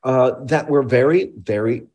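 A man's voice: medium pitch, a little slow, darkish tone, slightly rough. A man speaking as an expert scientist in an interview, explaining technical matters in a precise, emphatic way.

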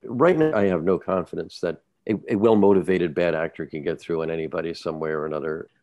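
Deep-voiced male journalist, casual podcast talk, halting with restarts, dry sound. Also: darkish tone, no room sound, highs cut off. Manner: halting, many restarts and repeated words.

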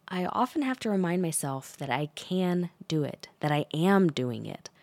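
A woman hosting a solo podcast, talking in a calm, reflective and measured way. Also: low voice, no echo. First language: English. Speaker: podcast host.

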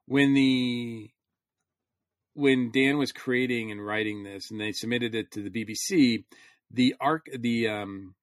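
A man's voice: medium pitch, fairly even intonation, slow, no echo, studio quality. A man in casual, rambling conversation as a panelist on a review podcast.

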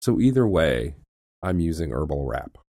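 Stuffed-up guy with a deep voice cracking dry jokes, super dry close mic. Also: sing-song, moderate pace, dark tone, slightly rough, no echo. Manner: dry, joking. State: congested, sick with a throat and sinus illness. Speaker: podcast co-host and teacher. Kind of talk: casual chat.

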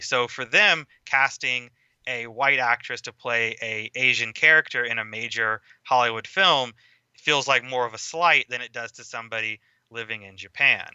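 Bright, crisp-voiced man explaining at length. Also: medium pitch, dry recording, highs cut off. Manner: measured, explanatory, lecturing at length. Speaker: podcast guest. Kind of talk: casual film podcast discussion.

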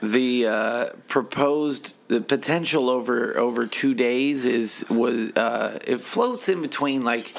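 A man co-hosting a podcast, slightly hoarse, joking and swearing in rambling casual banter. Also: medium pitch, slow pace, hardly any pauses. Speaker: podcast co-host.

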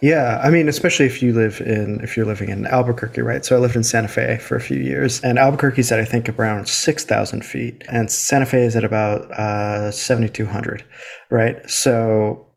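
A man hosting a relaxed podcast interview, with a noticeably gravelly, creaky edge to his voice. His pitch sits in an ordinary middle range, and his talk is loose and chatty. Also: fairly quick. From Illinois, United States. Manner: laid-back, chatty, laughs easily. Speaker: podcast host. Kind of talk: casual interview chat.

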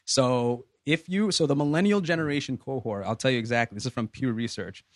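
A man's voice: mid-range voice, a little fast, no room sound. A man in his thirties hosting a podcast in a loose, easygoing way. He wanders through his points with plenty of fillers and restarts. His voice sits mid-range and is recorded close and dry. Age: 30s. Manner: relaxed, rambling with many fillers. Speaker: podcast host. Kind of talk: casual group discussion.

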